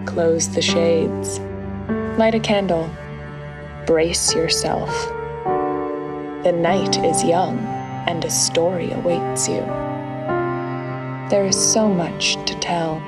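A woman giving a slow, ominous intro narration over new-age music. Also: fairly low pitch, steady tone. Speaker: show intro announcer.

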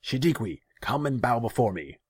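A man voicing a cruel, hot-tempered young khan in a fantasy story, loud, indignant and imperious. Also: low voice, steady tone, unhurried, no echo, pitched above the performer's own voice. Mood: commanding.

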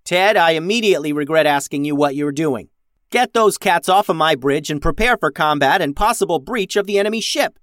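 A man voicing a bossy, impatient ten-year-old girl starship captain who shouts commands in a children's story. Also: a little high, lively intonation, fairly quick, no echo, clean studio sound, voice pushed up. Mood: angry, ordering.

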